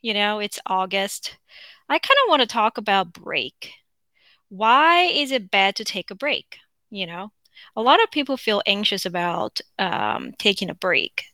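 A woman's voice: medium pitch, lively intonation, unhurried, bright, forceful tone, dry recording. A Korean woman hosting her own podcast, talking in a rambling, chatty way with plenty of fillers and restarts, with a Korean accent. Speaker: podcast host. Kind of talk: casual unscripted monologue.

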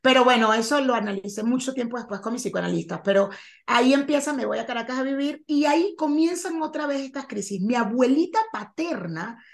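Woman in her forties, Venezuelan accent, higher voice, animated storyteller, video call. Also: brisk, gravelly. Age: 40s. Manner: animated storyteller, acts out quoted lines. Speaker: guest. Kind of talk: casual interview chat.

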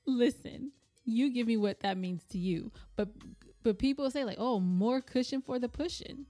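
A woman's voice: on the high side, moderate pace, warm tone, no room sound, soft background music. A woman co-hosting a podcast in casual, teasing banter and plugs, speaking close into a microphone. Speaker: podcast co-host.

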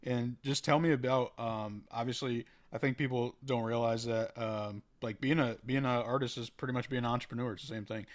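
A man hosting a podcast, asking questions in a casual, halting way. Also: medium pitch, fairly even intonation. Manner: casual, halting, with fillers and restarts. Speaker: podcast host. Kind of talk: casual interview chat.

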